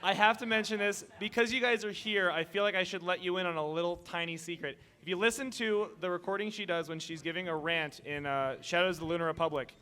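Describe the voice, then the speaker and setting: A man's voice: high-pitched, steady tone, fast, hardly any pauses, bright, forceful tone. A man moderating a panel in casual talk, speaking into a microphone in a convention room. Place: indoor convention meeting room. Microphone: table microphone.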